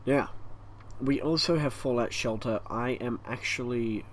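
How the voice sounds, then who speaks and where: Man, Australian accent, hoarse croaky voice, breathy, rambling, middle pitch, faint fan hum. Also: unhurried. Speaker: YouTube gaming channel host. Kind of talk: casual channel update monologue. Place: hot home room with a fan running. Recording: faint fan noise behind.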